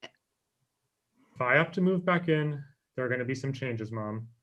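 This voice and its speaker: A man's voice: mid-range voice, animated, unhurried, highs cut off, close to an everyday voice. A young man acting an anxious, eager-to-please twenty-four-year-old in a table read, earnest and pleading, on a video call. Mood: exasperated. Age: young adult. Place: room at home, indoors.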